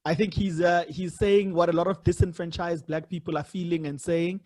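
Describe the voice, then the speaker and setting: Man, South African accent, high voice, measured, explanatory, conversational podcast host. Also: steady tone, darkish tone. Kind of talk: casual interview conversation.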